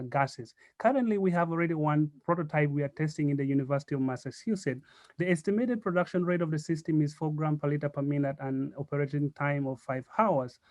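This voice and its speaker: A man from Uganda with a Ugandan accent gives a prepared team presentation in a formal, measured way. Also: on the high side, darkish tone, dry recording, lo-fi sound.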